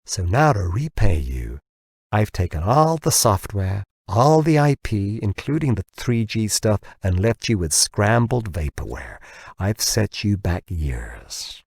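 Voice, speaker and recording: A man's voice: lowish voice, sing-song, a little slow, a little mellow, breathy edge, dry recording, studio quality, exaggerated voice. A man voicing a bitter, ranting American man who taunts and tumbles over his words in an audiobook. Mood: triumphant, bitter. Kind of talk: audiobook character dialogue.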